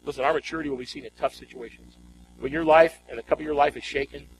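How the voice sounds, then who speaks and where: A man's voice: fairly high pitch, steady tone, quick pace, a little hiss or hum, poor audio. A man preaching a sermon as a pastor, emphatic and exhorting, repeating phrases and putting rhetorical questions to his congregation.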